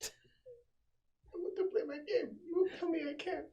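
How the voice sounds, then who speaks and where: A woman's voice: very high voice, fairly even intonation, moderate pace, dark tone, muffled recording. A woman playing a tabletop game, chatting casually. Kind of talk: casual chat.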